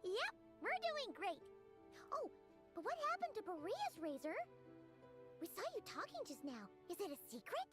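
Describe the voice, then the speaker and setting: Small childlike game companion, female: piercingly high, bright, squeaky, talkative, excitable. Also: normal speed, soft background music, full character voice. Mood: curious, cheerful. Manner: exclamatory, speaks of self in third person. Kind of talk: video game voice acting.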